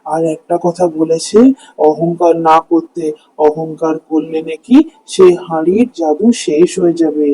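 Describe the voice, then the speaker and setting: A man's voice: a little high, fairly even intonation, a little slow, warm tone, breathy edge, rough recording. A man voicing a humble, kind-hearted village husband in a cartoon fairy tale, earnest and full of wonder.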